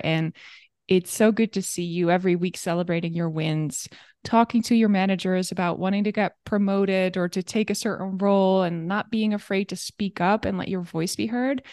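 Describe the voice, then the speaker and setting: A woman's voice: medium pitch, darkish tone, dry recording, clean studio sound. Upbeat woman career coach hosting. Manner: upbeat, sales-like emphasis on key words. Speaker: career coach, podcast host. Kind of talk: podcast host promo and interview.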